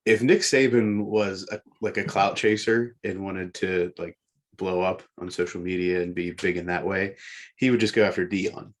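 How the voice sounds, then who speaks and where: A man with a fairly low voice speaks as a panelist on a sports talk show. He discusses and argues his points in a casual, conversational style. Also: fairly even intonation, moderate pace.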